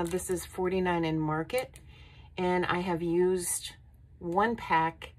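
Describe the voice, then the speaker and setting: Woman, craft haul vlog, slow unhurried talk, lowish voice, faint room hum, tabletop camera. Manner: unhurried, admiring, with frequent ums. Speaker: craft vlogger. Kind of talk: casual show-and-tell haul. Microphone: overhead camera on a tabletop.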